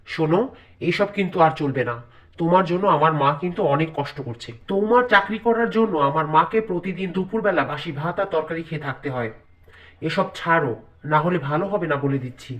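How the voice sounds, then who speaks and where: A man's voice: on the high side, a bit bright, recorded from a distance. A man voicing a domineering young husband in a cartoon, stern, irritated and giving orders. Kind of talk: Bengali cartoon acting.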